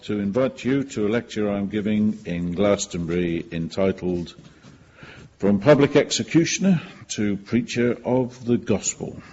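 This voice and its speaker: An actor playing a formal retired Victorian executioner turned preacher, reading out a letter in a declamatory radio drama style. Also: male voice, on the low side, darkish tone, lightly acted. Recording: long reverb, dull, faint noise.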